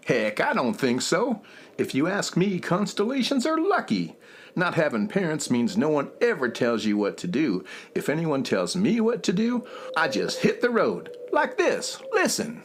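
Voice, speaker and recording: A man's voice: on the high side, huge pitch swings, a little slow, slight background noise. An actor playing a carefree male hobo constellation in a children's radio musical, folksy and breezy.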